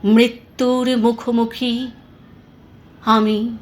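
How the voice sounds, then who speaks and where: A woman reciting poetry in a deliberate, expressive, dramatic style with weighted pauses. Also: a little high.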